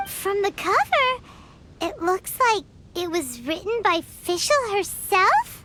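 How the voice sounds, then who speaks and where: Squeaky, tiny excitable fairy girl. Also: slow, slight background noise, exaggerated voice. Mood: curious. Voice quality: squeaky, childlike. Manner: excitable, refers to itself in third person. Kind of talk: video game character dialogue. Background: music.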